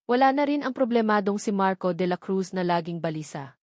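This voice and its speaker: A Filipino woman narrates a dramatic love story in a measured, expressive way. Her pitch sits in a middle female range. The recording is close and dry, with hardly any room sound. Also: no echo.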